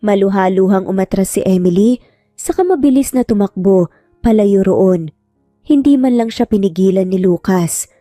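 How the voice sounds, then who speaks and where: A woman's voice: medium pitch, warm tone, no echo, clean studio sound. A woman reading a scripted romantic drama as a storyteller. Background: music.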